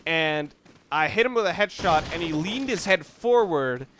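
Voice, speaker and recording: Higher-voiced man rambling over gameplay. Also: expressive, normal speed, noisy background. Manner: rambling, self-correcting, thinks aloud. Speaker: let's player. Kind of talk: casual unscripted game commentary.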